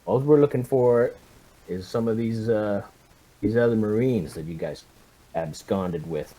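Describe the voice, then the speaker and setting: Man playing a stern, low-voiced commanding officer. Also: mellow, low-quality recording. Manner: authoritative, persuasive, measured. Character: stern male commanding officer interrogating a prisoner.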